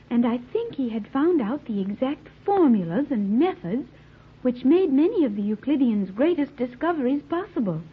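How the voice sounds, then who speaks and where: Naive literal-minded girl, high swoopy voice, very formal, old tinny radio serial. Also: slightly rough, full character voice. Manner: stilted, precise, formal diction without slang. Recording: tinny, band-limited old radio recording.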